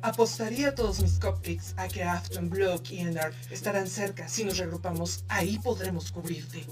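A woman with a Latin American Spanish accent voicing a practical, level-headed female monster robot in an animated fandub. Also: fairly low pitch, normal speed, distant mic, music in the background, natural voice. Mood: resolute. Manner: practical, composed.

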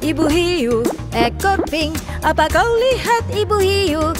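A woman's voice: very high-pitched, very animated, slow, loud music behind the voice. A woman narrating a children's cartoon in a sing-song manner, speaking to young viewers.

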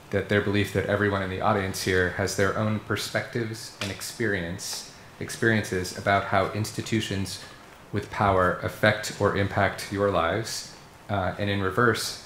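A middle-aged man runs a student panel in a university seminar room. His voice sits a little low and carries echo from the room, with faint background hiss. He talks in a friendly, organized way, with plenty of "uh" fillers. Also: flat delivery, unhurried, fairly bright tone. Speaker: panel facilitator. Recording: echoey room.

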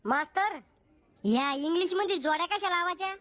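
A cheeky, lazy village schoolboy in a cartoon, as a voice actor plays him. He jokes and boasts in a high voice. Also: moderate pace, fairly bright tone.

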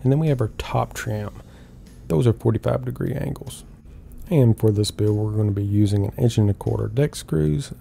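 A middle-aged man with a low, gravelly voice and a dark, mellow tone. He talks through each step calmly and plainly in an instructional voice-over. A faint hiss sits under the recording. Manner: calm, step-by-step explaining. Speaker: woodworking host.

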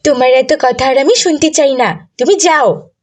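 A woman's voice: high voice, moderate pace, a little mellow, voice pushed up, lightly acted. A woman voicing a stubborn, whiny young girl in a cartoon. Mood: sulky, stubborn.

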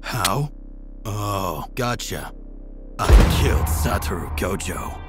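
A cold-blooded, cocky anime assassin voiced by a man speaks in a lowish pitch over prominent background music. He is nonchalant and taunting, casually cruel in everything he says. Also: lively intonation, takes it slowly. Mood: smug. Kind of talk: amateur anime manga dub acting.

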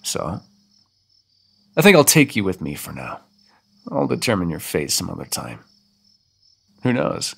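A man's voice: lowish voice, vocal fry, slightly rough, heavily acted. A man voicing a centuries-old male vampire in an audio roleplay, condescending and teasing with sudden threats.

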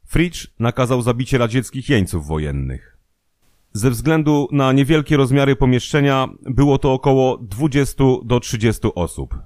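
A man's voice: medium pitch, darkish tone, dry recording. Man narrating in a dry, close studio sound. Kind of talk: scripted documentary narration.

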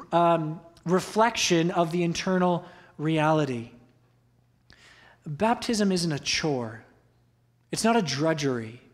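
A young man preaching a sermon from a church pulpit, earnest and exhorting, repeating key phrases for emphasis. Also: fairly high pitch. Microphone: pulpit microphone.

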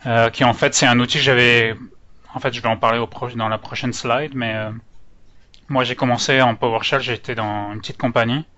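A man's voice: medium pitch, steady tone, brisk, sharp tone. A man from France with a French accent tinged by Canadian intonation, giving an informal, slightly hesitant technical presentation as a user group organizer. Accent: French with Canadian-influenced intonation. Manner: hesitant, nervous speaker. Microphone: online meeting microphone. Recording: dull, lo-fi online call audio.

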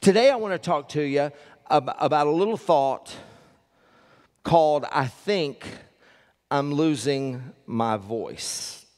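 An older man preaches from a church stage, folksy and quick to joke with the people in front of him. He talks into a headset mic, and his voice trails off into the long reverb of a large sanctuary. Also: fairly high pitch, lively intonation, a little mellow. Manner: folksy, joking with the congregation. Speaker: pastor. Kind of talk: sermon with announcements.

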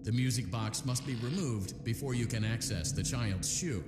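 An actor playing a calm, upbeat male voice that gives repair instructions in a video game. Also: mid-range voice, normal speed, lightly acted. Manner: calm, upbeat corporate training tone. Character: friendly male corporate repair-training voice. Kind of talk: scripted game voice-over instructions. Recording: echoey reverb with game music behind.

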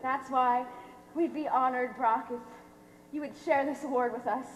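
A woman's voice: high-pitched, fairly even intonation, normal speed, slight background noise, lightly acted. A teenage actress on stage playing a brash, pushy female pop star. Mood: excited, admiring. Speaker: actor in a high school play. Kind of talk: live amateur stage acting. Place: theater stage. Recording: echoey hall stage recording.

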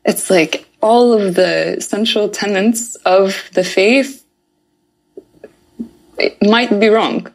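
A woman's voice: mid-range voice, slow pace, pauses often. A woman discussing scripture in a casual podcast conversation, engaged and emphatic, often repeating words. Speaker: podcast co-host.

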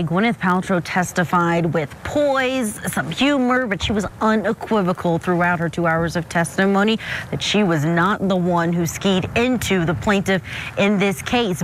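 A young woman, a field reporter, delivering a scripted news report in a crisp, even way. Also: medium pitch, slight background noise.

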